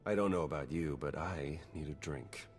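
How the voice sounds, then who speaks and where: A man's voice: rumbling low, moderate pace, faint music behind. An actor playing a wry, cynical male spy in a video game, dry and understated. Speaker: intelligence agent character. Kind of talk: video game cutscene acting. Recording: long hall reverb, noisy ambience behind.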